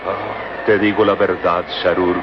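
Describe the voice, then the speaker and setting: A man's voice: sits low, flat delivery, a little slow. An actor playing a grave, solemn middle-aged professor and father leading an expedition, in vintage radio drama style. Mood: somber, resigned. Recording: muffled, noisy old radio recording.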